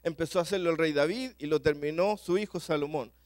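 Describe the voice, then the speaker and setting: A man with a Chilean accent preaching a sermon to a church congregation, talking quickly and often repeating words as he goes. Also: a little high, no room sound, clean studio sound. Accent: Chilean Spanish. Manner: fast-talking, repeats words when rushing.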